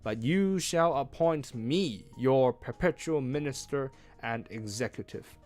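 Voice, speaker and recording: A man's voice: medium pitch, unhurried, darkish tone, natural voice. A man voicing a grandiose storybook bluffer posing as a mighty sorcerer, imperious and declamatory. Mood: imperious bargaining. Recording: music behind.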